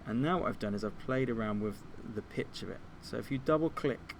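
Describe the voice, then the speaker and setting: A man giving an informal software tutorial, explaining things step by step like a teacher. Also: medium pitch, fairly quick, creaky, noisy background. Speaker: music technology teacher.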